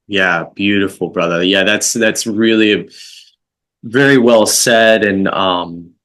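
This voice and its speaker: A man hosting a podcast interview, speaking slowly and gently, affirming his guest with soft fillers. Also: fairly low pitch. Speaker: podcast host.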